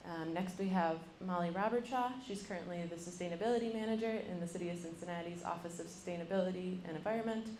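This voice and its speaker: A woman's voice: on the low side, quick pace, hardly any pauses, a little mellow, a little hiss or hum. A young woman moderating a university panel, introducing each speaker with frequent ums. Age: young adult. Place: lecture room. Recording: roomy, mic from a distance.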